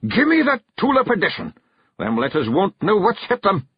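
A man's voice: high voice, huge pitch swings, moderate pace, husky, no room sound, voice pushed up, full character voice. A man voicing a small, stubborn Scottish fairy clan chief who growls and blusters, in a dull, dry recording. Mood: gruff.

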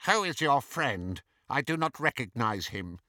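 A man voicing a snobbish, suspicious male dark wizard in an audiobook, formal and disdainful, with a pompous drawl. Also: mid-range voice, sing-song, measured pace, no echo, studio quality, pitched above the performer's own voice. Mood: suspicious, curious. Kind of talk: audiobook character voice.

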